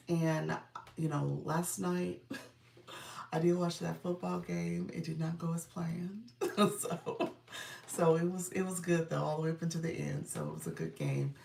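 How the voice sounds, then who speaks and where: A congested woman in middle age chats casually with viewers on a live stream, calling out names and thanking them. Her voice sits low with some gravel in it. The mic is set back, so the room rings around her. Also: a little mellow, recorded from a distance. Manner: greets viewers by name, chatty and upbeat. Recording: roomy sound, from off the mic.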